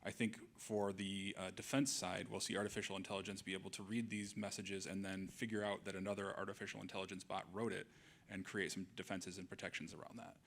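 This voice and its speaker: Male panelist, low and level voice, brisk, handheld mic on stage. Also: level tone, a bit bright, slight background noise. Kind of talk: panel discussion. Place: event hall, on stage.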